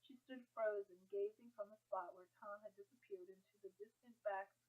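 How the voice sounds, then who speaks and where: A woman's voice: mid-range voice, fairly even intonation, a little fast. Woman narrating a novel, muffled narrow-band sound. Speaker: audiobook narrator. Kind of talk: scripted story narration.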